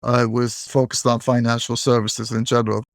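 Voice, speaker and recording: A middle-aged man from Turkey speaks at a middle pitch with a gravelly, creaky edge. He answers interview questions in a measured, explanatory way. The recording is dry and close, with no room sound. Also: level tone, no echo. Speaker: guest, bank technology executive.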